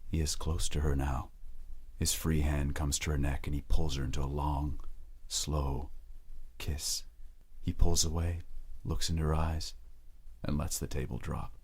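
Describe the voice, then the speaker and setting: A man with a remarkably deep, bass voice reads scripted dramatic narration. He tells the story in the present tense, with measured and deliberate delivery. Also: fairly even intonation, breathy edge.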